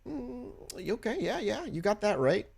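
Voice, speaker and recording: A man's voice: high-pitched, very animated, measured pace, gravelly, darkish tone, slightly rough, pitched above the performer's own voice, exaggerated voice. A man voicing a friendly young vampire woman, casual and politely hedging in a tabletop game. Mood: guarded. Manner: breezy, politely hedging, colloquial.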